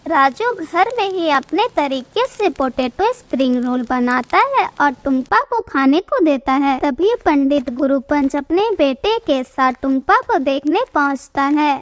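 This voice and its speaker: A female narrator with a clearly high voice. She reads a scripted cartoon moral tale as a storyteller. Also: expressive, unhurried, few pauses, no echo.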